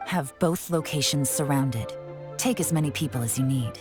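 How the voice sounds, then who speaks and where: A woman playing a shrewd, composed intelligence commander in a video game, speaking in a cool, deliberate, commanding manner. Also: rumbling low. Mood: firm, commanding. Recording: music playing behind the voice.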